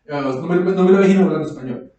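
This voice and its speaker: A man's voice: fairly high pitch, rapid-fire, warm tone, far from the mic, lo-fi sound, slight background noise. A man with a Mexican accent rambles in slangy, casual podcast chat, in a very echoey room away from the mic. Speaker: podcast host.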